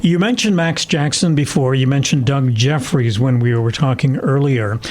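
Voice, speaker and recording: A middle-aged or older man with a Canadian accent, hosting a podcast and putting questions in a measured, conversational way. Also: mid-range voice, darkish tone. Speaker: podcast host, interviewer. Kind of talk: conversational interviewing.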